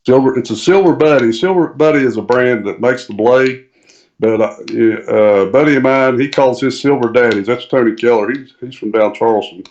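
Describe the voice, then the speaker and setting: A man's voice: mid-range voice, fairly quick, darkish tone. A man speaking as a podcast guest, explaining things casually in a plain-spoken, practical way over call-in audio. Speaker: podcast guest, tournament angler. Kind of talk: casual explanatory interview chat. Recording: dull, muffled call-in audio.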